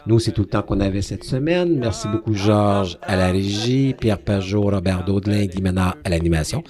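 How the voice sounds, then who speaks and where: A man hosting a radio interview about film, chatty and quick to laugh, often repeating words as he talks. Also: fairly low pitch, a crowd in the background. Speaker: radio host.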